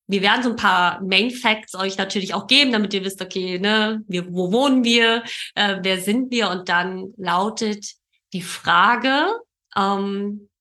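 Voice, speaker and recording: Bright-voiced German podcast host, woman. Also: medium pitch. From Berlin, Germany. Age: 30s. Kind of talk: casual conversational podcast talk.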